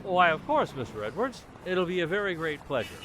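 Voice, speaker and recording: Man acting an animated, earnest radio family man. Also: sits high, a little fast, background noise. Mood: flustered. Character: eager, self-reproaching family man and businessman. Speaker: community theater actor. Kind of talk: vintage radio comedy acting.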